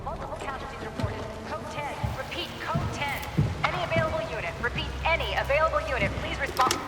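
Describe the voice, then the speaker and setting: Urgent police dispatcher on radio, clipped official talk, echoey, really loud music behind. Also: female voice, high-pitched, expressive, quick pace.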